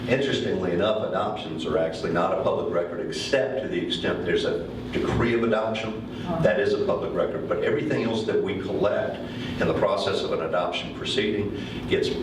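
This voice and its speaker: A middle-aged man, a county court clerk, answering questions at a public forum in a measured, explanatory way. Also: low voice. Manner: measured, explanatory, with occasional fillers. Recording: very echoey hall, voice from far off, noisy.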